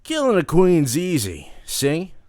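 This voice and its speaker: A man's voice: on the high side, wildly swooping pitch, slow, darkish tone, dry recording, studio quality, voice pushed up, full character voice. A man voicing a hot-tempered, scheming gangster boss in an audio drama, with snappy hissing delivery and old-time mobster slang. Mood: scheming, cocky. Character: hot-tempered scheming male gangster boss, sharp-toothed royal agent.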